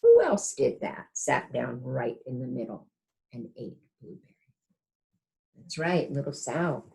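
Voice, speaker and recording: A woman with a low voice reads a children's picture book aloud. Her pitch moves in lively swoops, and she speaks like a kindergarten teacher, pausing to explain and put gentle questions to little listeners. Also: creaky. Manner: asks the young listeners gentle tag questions.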